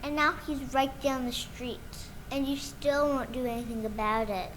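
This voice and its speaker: High-voiced, bitter, stubborn girl played by a woman. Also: steady tone, normal speed, a bit bright, slight background noise, low-quality recording. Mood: accusing. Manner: insistent, accusing. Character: bitter, stubborn orphaned girl demanding justice. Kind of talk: video game character dialogue.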